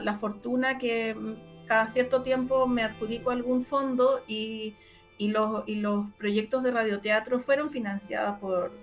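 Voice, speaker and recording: A woman with a Chilean Spanish accent answers in a conversational interview, often halting, repeating words and restarting. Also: medium pitch. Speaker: interviewed radio drama producer.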